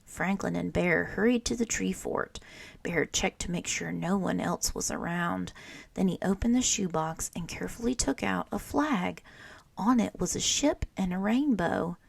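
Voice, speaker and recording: A woman teacher reading a children's picture book aloud, with expressive storytelling and little asides to the listener. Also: lowish voice, measured pace, gravelly, slightly rough, dry recording.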